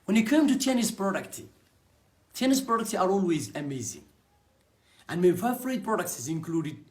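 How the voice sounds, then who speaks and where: A young man with a Somali accent, a native Somali speaker, giving an earnest personal testimonial about his network marketing business in short halting phrases. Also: sits high.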